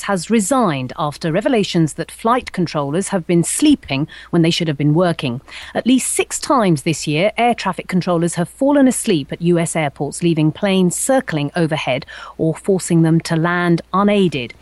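A woman's voice: fairly low pitch, dry recording. Probing female news presenter, dry sound. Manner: probing, conversational questioning. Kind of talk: broadcast news interviewing.

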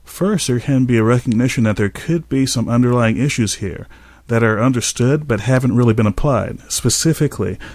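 A man speaking as an expert host in a measured, explanatory way. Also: medium pitch, darkish tone, no room sound. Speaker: aviation safety expert and podcast host. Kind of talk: scripted narration and expert interview answers.

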